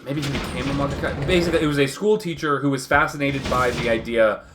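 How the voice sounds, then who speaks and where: A man's voice: mid-range voice, fast, background noise. A man in casual chat while playing a video game, explaining at length with many fillers and restarts. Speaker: gaming co-streamer.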